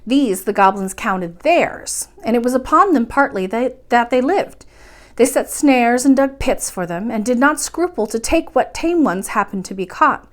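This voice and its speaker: A woman's voice: a little high, animated, moderate pace. A woman reading a children's story aloud expressively, as the host of a bedtime storytime.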